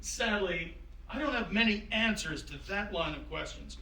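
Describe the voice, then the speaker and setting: Man acting as genial wise founding father, courtly measured phrasing, high bright voice, staged reading, distant roomy recording. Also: expressive, slight background noise, exaggerated voice. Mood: earnest, probing. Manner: courtly, old-fashioned phrasing, measured and avuncular. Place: room at a live staged reading.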